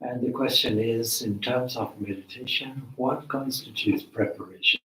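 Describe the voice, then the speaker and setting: A man leading a guided meditation as a teacher, with measured, deliberate phrasing and long pauses. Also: mid-range voice, steady tone, bright, forceful tone. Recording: roomy, echoing space.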